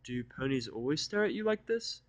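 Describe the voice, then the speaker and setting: A man's voice: medium pitch, big pitch swings, normal speed, no echo, voice pushed up. A man voicing a bookish young female pony, persistent and polite, in an earnest, coaxing manner. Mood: quiet concern.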